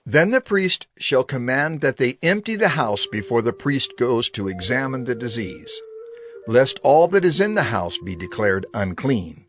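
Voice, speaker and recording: Expressive male scripture narrator. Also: medium pitch, a little slow. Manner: steady, expressive, reverent reading.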